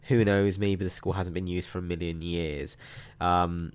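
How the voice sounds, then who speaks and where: Low, croaky man. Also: flat delivery, a little slow. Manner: chatty and upbeat, frequent ums. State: hoarse from a sore throat. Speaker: mobile game channel host. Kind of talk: casual gameplay walkthrough commentary.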